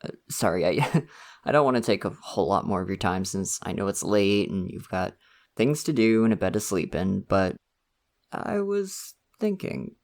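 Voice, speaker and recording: Man acting as shy college crush, creaky, lively pitch. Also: normal speed, exaggerated voice. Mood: nervous, hesitant. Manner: hesitant, rambling, chuckling self-consciously. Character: shy, friendly, awkwardly flirty male college crush. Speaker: ASMR roleplay performer. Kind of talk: intimate ASMR roleplay, casual conversational acting.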